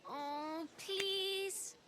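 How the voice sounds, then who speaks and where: A woman's voice: very high voice, extremely slow, sharp tone, full character voice. A woman voicing an eager, earnest little girl who likes to sneak and help, in a video game cutscene. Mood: pleading, apologetic. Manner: earnest, childlike. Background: background music.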